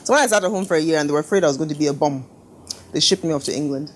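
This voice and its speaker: A middle-aged woman designer telling stories in a casual interview, lively and laughing often, doing little voices for her parents. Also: low voice, very fast, a little hiss or hum. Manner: animated storyteller, laughs often, acts out quoted family voices. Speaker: fashion designer guest. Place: living room studio with sofas.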